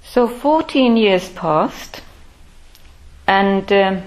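Older woman telling her life story slow and thoughtful, lots of pauses. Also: mid-range voice, expressive, low-quality recording. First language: Finnish. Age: 60s. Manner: measured, thoughtful, pausing often. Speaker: Buddhist order member. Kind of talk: reflective personal life-story talk.

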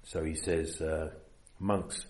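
Deep-voiced New Zealand meditation teacher. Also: male voice, fairly even intonation, darkish tone, reverberant. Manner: unhurried, hesitant, many fillers. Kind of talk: dharma talk.

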